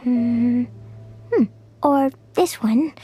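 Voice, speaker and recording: A woman's voice: fairly high pitch, very slow, mellow. A woman playing an innocent, cheerful, rash little girl in a video game, speaking in a naive, childlike way. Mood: eager, searching. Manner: childlike, naive, simple wording. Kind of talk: video game character acting. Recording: music behind the voice.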